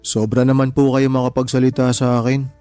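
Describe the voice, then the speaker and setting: A man's voice: mid-range voice, little pitch movement, brisk, dark tone, clear, resonant tone, no room sound, faint music behind, close to an everyday voice. A man acting in a radio drama as a meek young son-in-law, respectful, earnest and pleading. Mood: hurt, pleading. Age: young adult.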